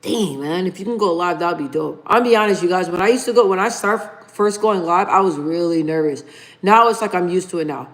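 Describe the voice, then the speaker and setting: A woman's voice: on the low side, fairly quick. A woman in her thirties hosting a casual livestream, chatting informally with viewers and reading their comments aloud. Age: 30s. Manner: chatty and informal, addresses viewers as "you guys". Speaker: livestream host.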